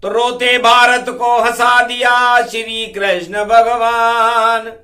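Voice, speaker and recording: A man preaching in a devotional storytelling style, half-singing rhymed verse in drawn-out, declamatory phrases and breaking into quick spoken explanations. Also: very high-pitched, flat delivery, pushed and bright.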